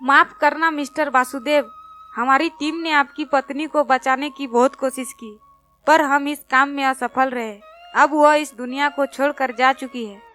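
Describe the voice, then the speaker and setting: A woman's voice: high-pitched, steady tone, measured pace, fairly bright tone, faint music behind. A woman playing a grave, apologetic female hospital doctor in a storybook tale, formal in manner. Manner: formal, solemn.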